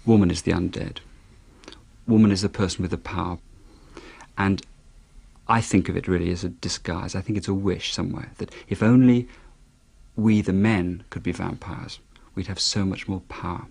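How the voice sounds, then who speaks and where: A man's voice: deep voice, steady tone, moderate pace, gravelly, pauses often, a little mellow, slightly rough. A man giving reflective commentary in an interview, thoughtful and musing.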